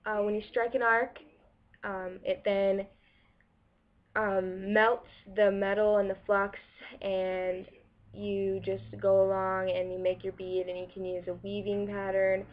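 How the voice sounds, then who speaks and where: A woman's voice: medium pitch, level tone, measured pace. Young woman explaining welding on a dull webcam. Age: young adult. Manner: casual, many ums and restarts, shows samples to camera. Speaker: welding student. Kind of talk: school project explainer video. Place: small room against a plain wall, indoors. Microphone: webcam in front of her.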